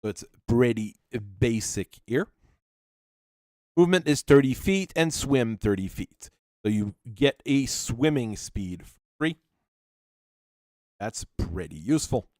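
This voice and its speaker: A man hosting a channel, working through tabletop character creation while thinking aloud and reading rules out, with halting pauses. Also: medium pitch, lively intonation, a little mellow, no room sound. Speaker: channel host.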